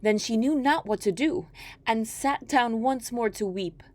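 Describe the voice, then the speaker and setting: A woman storyteller reading a folktale aloud in an expressive, scripted narration style. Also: on the high side, a little slow.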